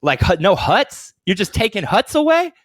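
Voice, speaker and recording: American man, fairly high voice, very dry recording, casual podcast chat, slangy, sweary. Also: big pitch swings, normal speed, no room sound. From Austin, Texas, United States. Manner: slangy, laid-back wording, swears freely. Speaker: podcast co-host.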